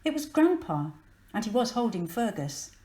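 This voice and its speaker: A woman's voice: on the high side, very animated, moderate pace, dark tone, reverberant. A woman narrating a children's story from a script, expressively and with dramatic emphasis. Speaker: storybook reader.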